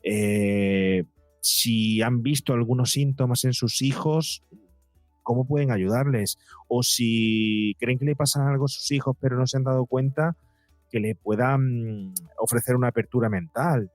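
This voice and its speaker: Man, mid-pitched voice, podcast guest, long reflective explanations, very dry recording, faint music behind. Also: unhurried, darkish tone, dry recording. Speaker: psychologist and podcaster, interview guest. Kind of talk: explanatory interview talk. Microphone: close podcast mic, seated at a table.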